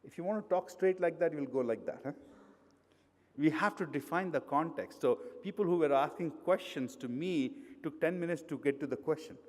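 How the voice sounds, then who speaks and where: A man's voice: a little high, normal speed, a little mellow. A middle-aged man with an Indian accent, giving a conversational conference talk full of fillers and restarts. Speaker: conference speaker. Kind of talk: conference presentation. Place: hotel conference hall. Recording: long hall reverb.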